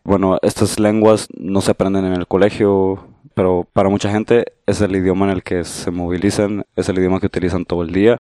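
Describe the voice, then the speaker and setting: Guatemalan man, deep dark voice, dry close mic, calm explaining. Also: no echo. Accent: Guatemalan Spanish. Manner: calm, measured, modest explainer. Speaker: podcast guest. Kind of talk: casual explanatory interview talk.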